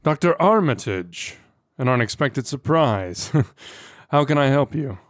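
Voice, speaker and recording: Man playing a friendly helpful professor in an audio drama, medium pitch, very dry recording. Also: lively intonation, measured pace, no echo, close to an everyday voice. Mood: affable. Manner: polite, obliging. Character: genial, helpful male academic chemist. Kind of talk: audio horror drama acting.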